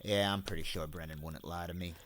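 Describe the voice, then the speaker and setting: A man's voice: low-pitched, steady tone, fairly quick, a bit bright, no echo. A man playing a stubborn, wry garrison general in a fantasy audio drama, dry and laconic. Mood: dry, unflappable.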